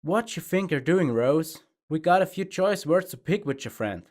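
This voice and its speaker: A man's voice: a little high, expressive, moderate pace, darkish tone, pitched above the performer's own voice, natural voice. A man voicing a tough, blunt farm pony mare who talks in plain rural phrasing. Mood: confrontational.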